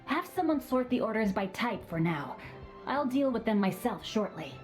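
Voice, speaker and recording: A woman's voice: fairly high pitch, sing-song, moderate pace, a little mellow, soft background music. A woman voicing a refined, witty young nobleman in a game, speaking in a courteous, formal, composed manner. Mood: calm. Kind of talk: game dialogue.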